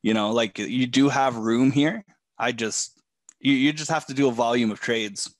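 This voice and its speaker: A man coaching a live trading session from a home office, talking in a relaxed way with many fillers, a bit hoarse and often clearing his throat. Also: mid-range voice, moderate pace, no room sound. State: losing his voice.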